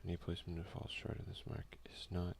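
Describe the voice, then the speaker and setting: A young man doing a soft-spoken ASMR let's play, often slipping into whispers as he comments and reads out game text close to the microphone. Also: low-pitched, level tone, fast talker, creaky. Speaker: gaming video creator. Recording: faint noise behind a home recording.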